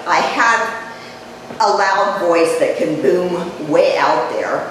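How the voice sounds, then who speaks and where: An older woman giving a chatty public talk to a live audience, her voice dropping low as she speaks. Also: lively intonation, slow pace. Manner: conversational, chatty, jokes with the audience. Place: community event room. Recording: echoey hall, mic far off, noisy.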